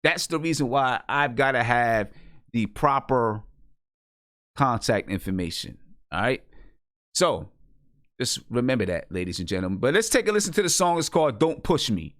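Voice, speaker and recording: A man's voice: medium pitch, animated, moderate pace, a bit bright, no room sound, clean studio sound. A man hosting a music review show, talking casually to artists and listeners in an instructive, insistent way and often checking they follow. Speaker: host of an independent hip hop music review show. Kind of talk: casual live radio-show talk addressed to artists and listeners.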